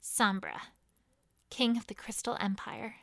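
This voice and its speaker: Woman, slightly high voice, dry close sound, first-person fantasy narration, proud queen character. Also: steady tone, a little slow, sharp tone, no echo. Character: proud pony queen narrating her own story. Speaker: fan fiction reader.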